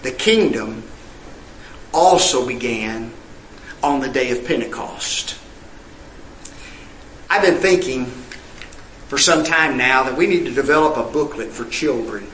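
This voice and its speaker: A man's voice: medium pitch, measured pace, pauses often, fairly bright tone, echoey room, a little hiss or hum, rough recording. A male preacher, delivering an emphatic sermon full of rhetorical questions and scripture read in old-fashioned wording. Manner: reads scripture in King James wording.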